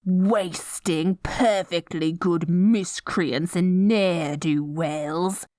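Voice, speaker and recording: A woman's voice: on the low side, fairly even intonation, extremely slow, mellow, no room sound, clean studio sound. A woman playing a dorky, melodramatic young evil queen in an audio roleplay, stammering when flustered and grandiose in her villainy. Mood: gleefully scheming. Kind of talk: scripted ASMR audio roleplay.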